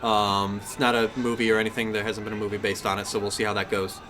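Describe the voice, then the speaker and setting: A man's voice: on the low side, flat delivery, fast talker. A man hosting a podcast in casual gaming chat, talking in a rambling, self-correcting way. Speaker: podcast host.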